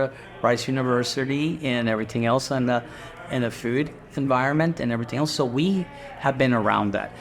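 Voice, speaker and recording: A man's voice: medium pitch, a bit bright. A middle-aged man from Guatemala, a restaurant owner, chatting casually in an interview and rambling a little with fillers and restarts.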